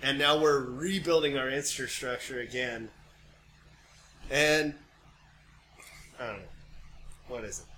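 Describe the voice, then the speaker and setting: Bright-voiced American man, slangy, noisy audio. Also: mid-range voice, slow pace, pauses often. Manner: sweary, slangy. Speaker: podcast co-host. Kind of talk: casual chat. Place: home studio. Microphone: podcast microphone. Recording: clearly noisy amateur podcast recording.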